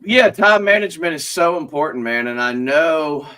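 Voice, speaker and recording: A man's voice: on the high side, animated, normal speed, sharp tone. A man hosting a casual live chat and leading a prayer, talking in a chatty, informal, rambling way while sniffling a bit. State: sniffling, stuffy. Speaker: host of a business owners' live chat.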